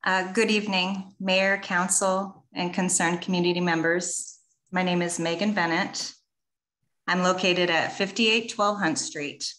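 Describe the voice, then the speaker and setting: A woman from Washington State reading a prepared public comment over a video call, measured and earnest, with a big echo on her line. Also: fairly low pitch, steady tone, fairly bright tone. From Washington State, USA. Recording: echoey call audio.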